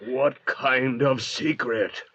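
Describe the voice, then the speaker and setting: A man's voice: medium pitch, fairly even intonation, takes it slowly, fairly bright tone, breathy edge. A man playing an embittered, menacing castaway with a sardonic, threatening delivery in 1940s radio drama. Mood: suspicious. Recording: dull old radio recording.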